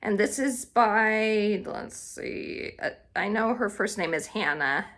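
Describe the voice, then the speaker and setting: Bright-voiced woman chatting casually about her picks. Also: medium pitch, expressive, slow pace. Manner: informal, thinks aloud. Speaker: book vlogger. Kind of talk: casual, chatty book tag video.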